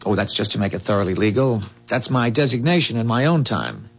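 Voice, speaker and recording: An actor playing a calm, earnest man from a dying future, explaining gravely in measured 1970s radio drama style. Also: lowish voice. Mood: calm, assured. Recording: dull, muffled 1970s radio drama recording.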